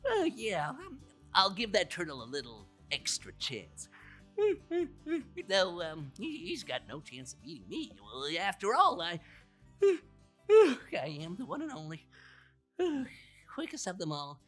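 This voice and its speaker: A woman's voice: medium pitch, huge pitch swings, slow, faint music behind, exaggerated voice. A woman voicing a boastful, scatterbrained male rabbit in a storybook, muttering, sighing and giggling. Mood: drowsy, boastful. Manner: hesitant muttering, sighs, giggles and exclamations.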